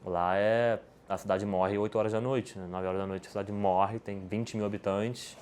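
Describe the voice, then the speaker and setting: A man's voice: on the low side, steady tone, moderate pace, darkish tone, slight background noise. A Brazilian man, a podcast guest, answering questions in casual talk, rambling with many restarts and tag questions. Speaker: podcast guest, poker player.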